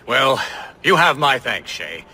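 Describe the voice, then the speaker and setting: A man playing an elderly, affable gentleman diplomat in a game cutscene, effusive, courtly and hesitant in his speech. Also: mid-range voice, big pitch swings, slow pace, a little hiss or hum, full character voice. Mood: grateful, hesitant. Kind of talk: video game cutscene acting.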